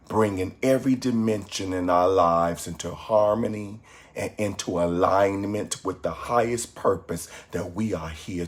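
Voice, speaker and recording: A man leading a guided meditation from a script in a calm, measured, soothing way with pauses. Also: deep voice.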